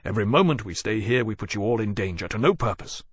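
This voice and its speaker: A man voicing a stern officer who speaks low, sharp and urgent. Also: a little fast, dry recording. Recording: dry close mic.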